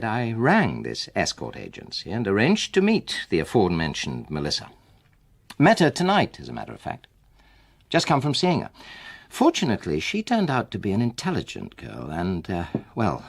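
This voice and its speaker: An actor playing a wronged, coldly sarcastic husband in a radio drama, with a dry, deliberate manner. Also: male voice, fairly low pitch, wildly swooping pitch, vocal fry, exaggerated voice. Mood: dry, sardonic. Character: wronged husband, former rugby man, coldly sarcastic and controlled. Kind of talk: naturalistic radio drama acting.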